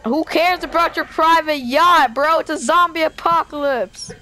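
A high-voiced, excitable gamer improvises a zombie apocalypse roleplay in a melodramatic way. The recording has faint noise with game sounds behind the voice. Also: normal speed. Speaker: gaming video creator playing a roleplay character.

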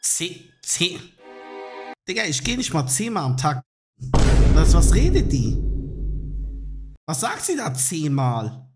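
Guy streaming a reaction, slangy mocking comments to chat, lively up and down voice. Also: a little high, slow, long pauses, over loud music.

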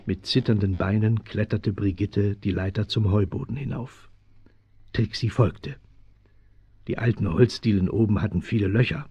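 Husky, low-voiced male storyteller. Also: normal speed, dry recording. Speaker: narrator. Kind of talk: scripted children's radio play narration. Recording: dry, slightly dull 1970s studio recording.

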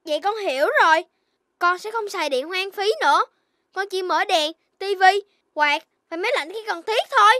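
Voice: very high voice, normal speed, bright, forceful tone, no echo, studio quality. An actor voicing an eager, stubborn little puppy boy in a children's cartoon, with an earnest, emphatic delivery. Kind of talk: Vietnamese children's cartoon dubbing.

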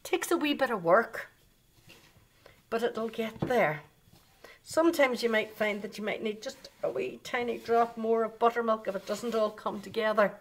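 An older woman, hosting a children's baking lesson in her home kitchen and talking straight to the young viewers with coaxing questions. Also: a little high. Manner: chatty, talks directly to children, coaxing questions. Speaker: baking show host. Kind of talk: children's cooking demonstration.

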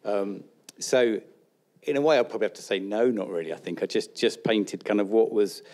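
A man's voice: fairly low pitch, brisk, darkish tone. A middle-aged man, a portrait painter answering questions on a panel, hesitant and self-deprecating, restarting his sentences. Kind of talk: casual panel talk. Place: college event hall with an audience.

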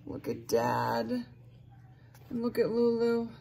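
A middle-aged woman reading a children's story aloud like a teacher, expressive and emphatic for young pupils. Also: on the high side, takes it slowly, vocal fry. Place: small classroom, indoors. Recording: noticeable room noise on device mic.

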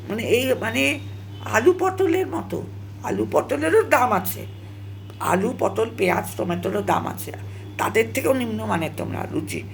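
A woman vlogger speaking straight to viewers in an opinionated, halting monologue, repeating herself and scolding with emphatic rhetorical questions. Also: mid-range voice, gravelly, slight background noise.

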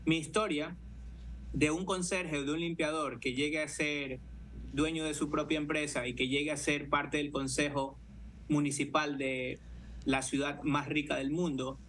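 Man, 30s, Guayaquil Ecuadorian accent, interview guest, fairly high voice, video call, slight background noise. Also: flat delivery, moderate pace, a bit bright. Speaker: interview guest, city councillor. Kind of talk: interview answers. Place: living room, indoors. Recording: noisy video call audio.

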